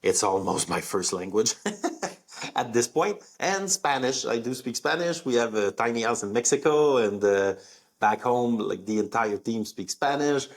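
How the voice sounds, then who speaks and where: A young man with a French accent is talking through his plans on his own live podcast, speaking English as a second language. His voice sits at a medium pitch, and he keeps it loose and chatty, with plenty of fillers. He leans up in pitch on the words he wants to stress. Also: expressive, measured pace. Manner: conversational, many fillers, rising emphasis on key words. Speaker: podcast host. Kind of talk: casual solo talk on a live podcast.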